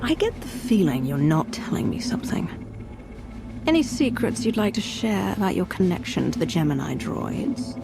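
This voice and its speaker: A woman's voice: mid-range voice, sing-song, moderate pace, gravelly, music in the background. A woman acting a commanding, calculating Sith leader in a video game, assertive, shrewd and controlled.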